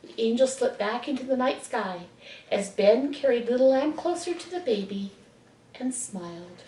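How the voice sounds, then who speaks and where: A woman reading a children's bedtime story expressively, with dramatic pauses. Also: a little high, lively intonation, mellow, a little hiss or hum. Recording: echoey room, distant mic.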